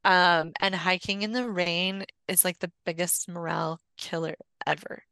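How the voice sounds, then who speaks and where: A woman's voice: on the low side, fairly even intonation, moderate pace, creaky, pushed and bright, no room sound. A woman in her forties telling personal stories casually as a podcast guest, in a candid, rambling, conversational way. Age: 40s.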